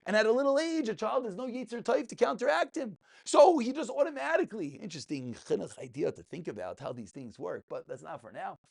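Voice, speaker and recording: A man's voice: sits high, big pitch swings, fairly quick, vocal fry, hardly any pauses, darkish tone. A man giving a passionate, informal religious lesson as a rabbi, emphatic, full of rhetorical questions and repeated phrases.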